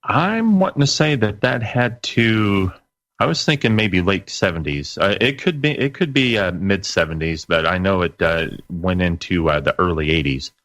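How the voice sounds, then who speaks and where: A man in his late forties with a distinctly deep voice, chatting as a guest on a show. He talks in a folksy, halting way, circling back over his phrases. The recording is very dry, without room sound. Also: lively intonation, fairly bright tone, no room sound. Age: late 40s. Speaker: guest, internet radio broadcaster. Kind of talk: casual interview chat.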